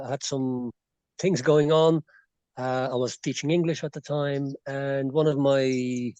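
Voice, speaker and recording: A man's voice: medium pitch, darkish tone, no room sound, poor audio. A man from Belgium with a slightly Irish-tinged accent, a guest chatting casually in an interview with many fillers and restarts. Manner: rambling, frequent fillers and restarts. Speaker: expat guest. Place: rural property in Bolivia.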